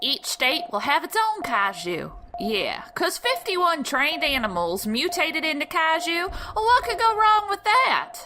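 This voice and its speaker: Casting: woman as stubborn, hopeful female soldier in a comic drama; high, slow delivery with lively swings. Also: over music, full character voice. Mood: wry. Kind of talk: unhurried two-hander comic dialogue.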